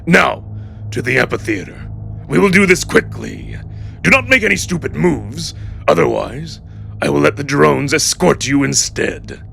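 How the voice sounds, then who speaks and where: A man voicing a commanding, ruthless older male wolf official in a dramatic story, with a gravelly growl and an imperious way of giving orders. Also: fairly high pitch, big pitch swings, unhurried, fairly bright tone, exaggerated voice. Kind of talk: dramatic audiobook-style character acting. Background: music.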